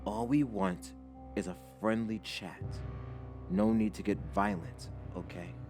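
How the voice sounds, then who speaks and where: A man voicing a brooding teenage exiled prince in an animated adventure story, calm, terse and solemn. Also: on the low side, measured pace, soft background music, natural voice. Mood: quiet menace. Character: brooding sixteen-year-old exiled prince with a scar.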